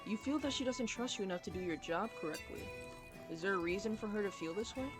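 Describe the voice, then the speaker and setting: A man acting a courteous, guarded company boss in a fantasy audio drama, speaking in a measured way. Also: high-pitched, expressive, over music. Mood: curious, sympathetic. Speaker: voice actor in an audio roleplay.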